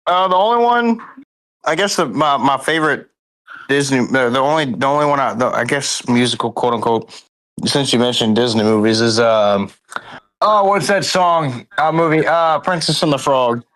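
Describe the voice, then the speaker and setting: A man's voice: mid-range voice, animated, moderate pace, fairly bright tone, poor audio. A man co-hosting a podcast over a video call, blunt and joking in casual chat. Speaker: podcast co-host.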